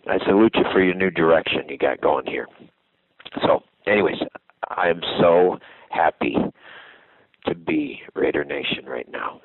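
Caller: sick man, gravelly and very deep, earnest, over a tinny dry phone line. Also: no echo. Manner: earnest, heartfelt, many fillers. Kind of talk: phone-in call.

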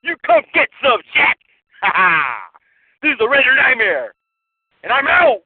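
A man calling in as a sports fan over a tinny phone line, shouting boastful, profane taunts. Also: extremely high pitch, lively intonation, moderate pace, no room sound. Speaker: fan caller. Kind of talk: profane hyped-up trash-talk rant. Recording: tinny, muffled telephone line.

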